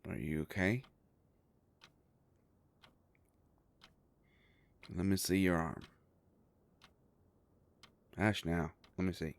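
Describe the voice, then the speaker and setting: A very deep, gravelly male werewolf private eye, acted by a man for an intimate audio drama. He talks slowly in a folksy, halting way, gruff but protective, with a gently teasing streak. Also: dry recording. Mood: concerned, gentle.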